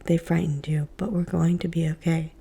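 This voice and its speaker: A woman whispering the lines of a gentle, worried mother in a story. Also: sits low, flat delivery, normal speed, creaky, breathy edge, exaggerated voice. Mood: soothing. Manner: soft, soothing.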